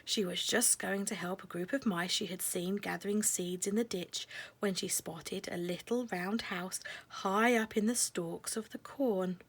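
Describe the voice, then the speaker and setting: A woman narrating a children's storybook in a measured, expressive way, letting phrases end softly. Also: medium pitch, slightly rough.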